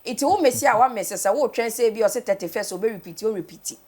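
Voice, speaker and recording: A woman with a Ghanaian accent hosting a casual, chatty TV interview, informal and animated, switching between English, Pidgin and a local language. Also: on the high side, very fast. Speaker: TV morning show host.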